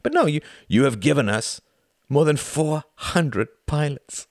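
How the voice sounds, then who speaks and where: A man voicing an older, cruel and mocking male Nazi count who shouts and cackles. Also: fairly high pitch, expressive, unhurried, vocal fry, no room sound, clean studio sound, voice pushed up. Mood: sneering.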